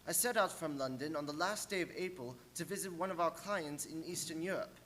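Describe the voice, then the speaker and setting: A man's voice: on the high side, a bit bright, a little hiss or hum, close to an everyday voice. A man playing an earnest young English lawyer's clerk who formally recounts his journal in a radio play. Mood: grave, foreboding. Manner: formal, measured storytelling. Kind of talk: dramatic radio-play narration.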